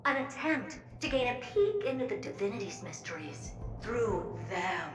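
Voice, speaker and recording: A high-voiced, wildly animated female mirror spirit from a video game, theatrical and adoring. She gushes playfully, and sits back in an echoey space with loud music behind her. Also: normal speed, far from the mic. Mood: dramatic awe.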